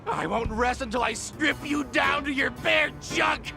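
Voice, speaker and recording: An actor playing a hot-tempered, aggrieved ex-producer in a video game, whining and ranting indignantly. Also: male voice, very high-pitched, measured pace, creaky, full character voice. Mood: furious, indignant. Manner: whiny, indignant, foul-mouthed ranting. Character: hot-tempered, aggrieved fired-producer man working as a waiter. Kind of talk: dramatic video game voice acting. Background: music.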